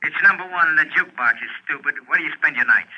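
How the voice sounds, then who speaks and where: Anonymous tough American caller, slangy and scornful, dull filtered phone sound, old radio drama. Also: male voice, on the high side, fast talker, breathy edge. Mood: impatient, scornful. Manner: slangy, impatient, tough talk. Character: brusque, insulting male tipster on the telephone. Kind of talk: 1950s radio drama acting.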